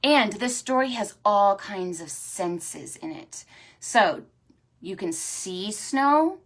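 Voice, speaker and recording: Slow, animated woman hosting preschool storytime. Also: mid-range voice. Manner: animated, sing-song talk to small children. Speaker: children's librarian and storytime host. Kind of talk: preschool storytime with stretches and songs.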